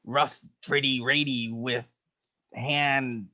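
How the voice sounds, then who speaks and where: A man voicing a garbled comic narrator who reads halting, repetitive descriptions in broken speech, mixing up and dropping sounds. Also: mid-range voice, steady tone, bright, forceful tone. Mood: halting, clownish. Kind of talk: comic dramatic reading played for laughs.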